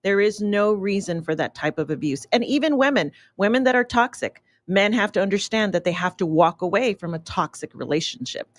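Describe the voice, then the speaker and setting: A woman's voice: mid-range voice, expressive, a little fast, few pauses, no echo. Earnest woman, outspoken radio guest, dry studio sound. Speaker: radio show guest, author and business owner. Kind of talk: casual radio interview.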